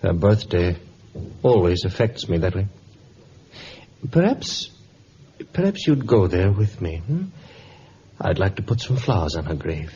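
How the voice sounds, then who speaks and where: A man acting a middle-aged, bereaved father in a vintage radio drama, gentle, wistful and hesitant. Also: low voice, sing-song, measured pace, long pauses. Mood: grieving. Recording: muffled, hissy old radio recording.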